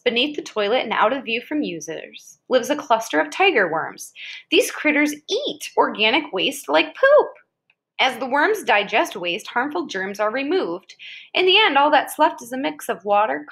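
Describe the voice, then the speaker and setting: A woman's voice: high-pitched, vocal fry, a bit bright, slightly rough. An American woman reading an educational article aloud in a conversational way, dropping in short casual asides. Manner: conversational, interjects short reactions. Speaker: reader of an article aloud to a child.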